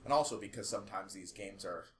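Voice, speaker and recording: A man's voice: on the low side, fast, fairly bright tone. A man co-hosting a podcast in casual chat, with an enthusiastic, rambling way of talking. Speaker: podcast co-host. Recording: echoey room, faint background noise.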